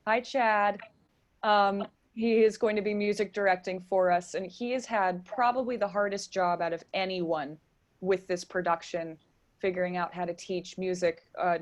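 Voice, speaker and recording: A woman speaking casually and earnestly as a theater guest in an interview. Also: fairly low pitch. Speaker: guest, stage director.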